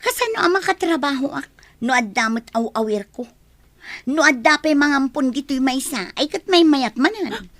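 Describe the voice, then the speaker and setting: Hard-pressed young mother, high pitch, dry recording, stubborn, defensive, radio play. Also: lively intonation, normal speed, a bit bright, no room sound. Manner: defensive, matter-of-fact. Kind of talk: radio drama acting.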